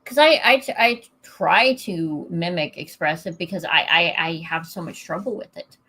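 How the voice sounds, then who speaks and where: A woman hosting a casual evening chat stream, talking in a relaxed way with many fillers and restarts, her voice fairly flat in tone. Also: fairly low pitch, moderate pace, slightly rough. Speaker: host.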